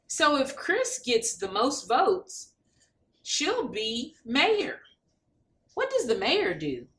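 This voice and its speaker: Woman reading as chatty storybook dog, lively high voice. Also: full character voice. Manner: picture-book dialogue, curious questions and plain explanations. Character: two chatty pet dogs, one explaining, one questioning. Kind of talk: children's storybook read-aloud.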